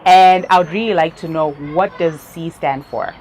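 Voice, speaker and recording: A woman from Zimbabwe hosting a youth talk show, interviewing in a lively, encouraging way and laughing easily. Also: sits low, normal speed, low-quality recording. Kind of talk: casual interview. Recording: faint background noise.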